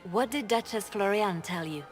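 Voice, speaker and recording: An actress playing a coolly pragmatic, ruthless spymaster in a video game, grave and measured. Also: female voice, mid-range voice, soft background music, close to an everyday voice. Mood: calm, calculating. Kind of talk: video game dialogue acting.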